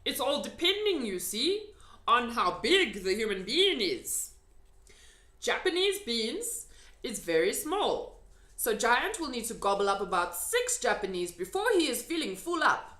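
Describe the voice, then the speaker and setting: A woman voicing a friendly old male giant in a children's storybook, excitable and emphatic, with quaintly muddled grammar. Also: on the high side, unhurried, pitched above the performer's own voice. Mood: animated explaining. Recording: echoey room.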